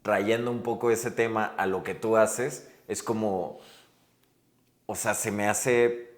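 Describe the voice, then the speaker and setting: A man's voice: lowish voice, steady tone, slow. A Mexican man hosting a podcast, chatting in a reflective, rambling way and often checking in with his guest. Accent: Mexican Spanish. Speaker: podcast host. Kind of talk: casual interview chat. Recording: roomy echo.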